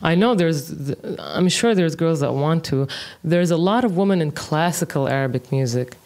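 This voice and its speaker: A woman's voice: low-pitched, normal speed. Woman in her 30s from kuwait, guest in a chat interview, lots of ums and you-knows, laid back. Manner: relaxed, thinks aloud with many fillers. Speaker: interview guest, music producer. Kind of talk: casual conversational interview.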